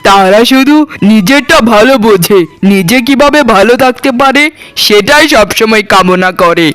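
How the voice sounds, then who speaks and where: A man's voice: sits high, animated, normal speed, a bit bright, clear, resonant tone, dry recording, faint music behind. A man voicing a kindly, solemn giant who grants boons in a cartoon. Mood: solemn, consoling. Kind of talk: cartoon voice acting.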